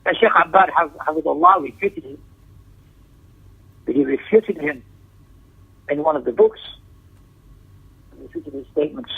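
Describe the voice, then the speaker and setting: A man with a fairly high, gravelly voice delivers an Islamic lecture. He is emphatic and repeats key phrases as he explains a text. The sound is thin and tinny, as if coming down a phone line. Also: animated, unhurried, pauses often. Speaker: religious teacher giving a lesson.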